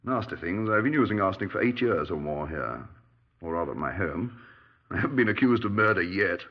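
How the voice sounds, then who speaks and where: An actor playing a genial, breezy middle-aged British retired major in a 1950s radio drama, clipped and dismissive. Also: male voice, deep voice, lively intonation, fairly quick. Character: genial, dapper retired army major, breezy small-town murder suspect. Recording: muffled 1950s radio recording.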